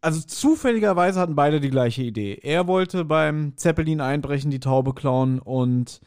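Male podcast co-host, higher pitch, informal and conversational, animated storytelling delivery. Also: moderate pace, clear, resonant tone. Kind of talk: casual chat, speculating about an audio drama episode.

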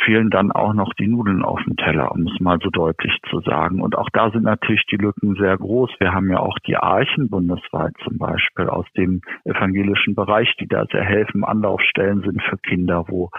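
Low gravelly man on a phone line. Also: no room sound. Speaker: interviewed welfare association spokesman. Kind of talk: explanatory interview answers. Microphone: telephone. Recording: thin band-limited phone line.

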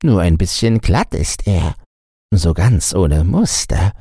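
A man's voice: low voice, big pitch swings, takes it slowly, dark tone, husky, no echo, clean studio sound, full character voice. A man voicing a cheeky, pompous raven in a storybook, impudent and self-satisfied.

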